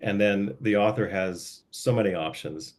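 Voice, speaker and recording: Guy with a really low voice, laid back, walks you thru book layout steps w lots of uh's. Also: moderate pace. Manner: easygoing, explains step by step with fillers. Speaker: book editor and layout guide. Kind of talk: casual group video call.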